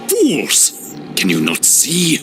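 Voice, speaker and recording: An actor playing a scheming, proud demonic elf sorcerer in a video game, arrogant and theatrical. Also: male voice, a little high, huge pitch swings, very slow, over music, heavily acted. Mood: desperate, angry. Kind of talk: dramatic video game villain acting. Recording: clear background noise and ambience.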